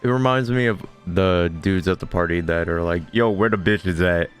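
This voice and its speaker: A man's voice: low-pitched, normal speed, soft background music. A man giving casual let's-play commentary as a game streamer, offhand and slangy.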